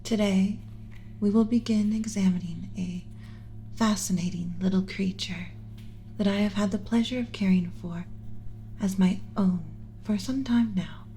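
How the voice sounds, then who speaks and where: A woman voicing a gentle, motherly alien scientist in an ASMR roleplay, speaking in a soothing, measured, instructional, often whispery way. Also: medium pitch, a little hiss or hum, close to an everyday voice. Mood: composed, instructive. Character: gentle motherly alien scientist teaching a class about pet human.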